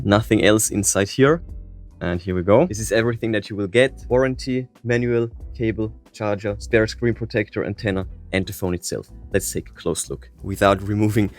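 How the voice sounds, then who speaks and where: A man presenting a casual gadget unboxing and review as a tech reviewer. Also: fairly low pitch.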